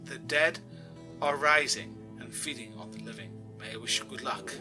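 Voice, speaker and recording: A man's voice: mid-range voice, normal speed, bright, forceful tone, soft background music. A man playing a grave, formal emergency broadcaster announcing a catastrophe in an audio drama.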